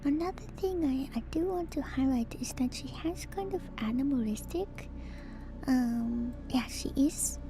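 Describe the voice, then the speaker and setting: Female ASMR streamer, breathy whisper with fry, musing and unhurried, close-miked. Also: sits high, music in the background. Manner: hesitant, musing, unscripted. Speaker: livestreamer host. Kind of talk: whispered ASMR video game lore commentary.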